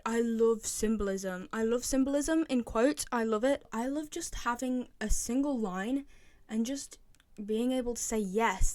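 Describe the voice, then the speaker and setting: A woman's voice: mid-range voice, no echo. A young woman with a British accent giving casual opinion commentary as a video host, often repeating herself for emphasis. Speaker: video host, game fan.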